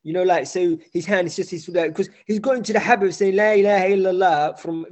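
A man's voice: high voice, fast, darkish tone. A man hosting a casual conversation, chatty and reflective, laughing often and affirming the other speaker with constant short yeahs.

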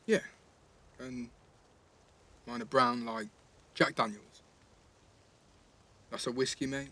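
A man's voice: medium pitch, steady tone, slow, bright, forceful tone. A man playing a cheeky, restless teenage schoolboy in care, blunt and slangy, in a naturalistic audio drama. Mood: soft, teasing.

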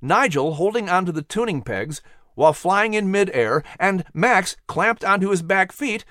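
A male storyteller reads a children's audiobook with expression. His mid-pitched voice moves up and down in a lively way, and the recording is dry, with no room sound. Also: normal speed, no echo. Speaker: storyteller and host.

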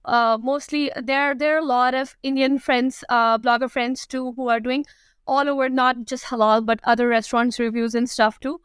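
A woman's voice: high voice, little pitch movement, normal speed, smooth, dry recording. A woman in her thirties from Pakistan with a Pakistani accent, answering interview questions casually with many fillers and restarts. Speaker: guest, food blogger.

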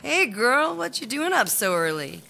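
A woman's voice: on the high side, big pitch swings, a little slow, gravelly, sharp tone, slight background noise, exaggerated voice. A woman playing a friendly, evasive prison inmate in a comedic audio drama, putting on innocence. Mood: innocent, evasive.

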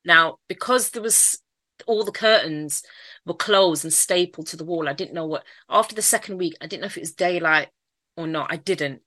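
Bright-voiced Mancunian woman telling her story. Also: fairly low pitch, normal speed, no echo. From Manchester, England. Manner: candid storytelling, frequent repeats, occasional laughs. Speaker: interviewee. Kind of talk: personal life story in conversation.

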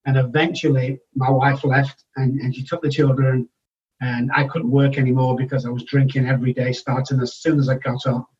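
A man's voice: medium pitch, flat delivery, a little fast, a little mellow, a little hiss or hum. Manchester man heard from afar, muffled. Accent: Manchester English. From England. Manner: frank, matter-of-fact, with restarts. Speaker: guest. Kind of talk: candid personal storytelling. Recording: distant, dull-sounding remote recording.

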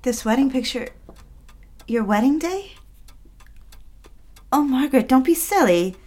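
Actress as prim young woman, fairly high voice, earnest, coaxing, solicitous, lonely, audio drama. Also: expressive, unhurried, darkish tone. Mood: coaxing, bright.

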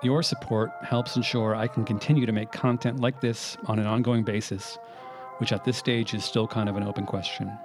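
A man's voice: lowish voice, level tone, moderate pace. Man narrating a history podcast over music.

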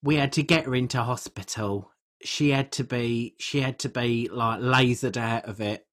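A performer playing a crude, gossipy middle-aged English woman podcaster, telling rambling comic stories in a blunt, husky way. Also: mid-range voice, normal speed, fairly bright tone, natural voice. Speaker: podcast co-host. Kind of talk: comic character chat, rambling anecdotes.

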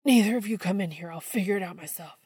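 A woman voicing a flustered, embarrassed young man with a strained, breathy, whining delivery in an audio story. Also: mid-range voice, quick pace, a little mellow, dry recording, clean studio sound, lightly acted. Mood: panicked.

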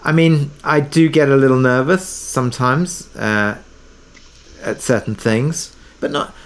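A man chatting as an interview guest in a halting, understated way, often restarting his phrases. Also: mid-range voice. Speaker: interview guest, audio drama maker. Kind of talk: casual conversation.

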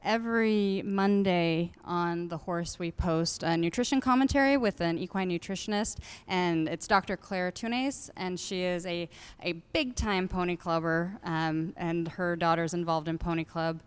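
Smooth-voiced woman moderating a panel. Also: fairly low pitch, unhurried. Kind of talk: live broadcast moderating.

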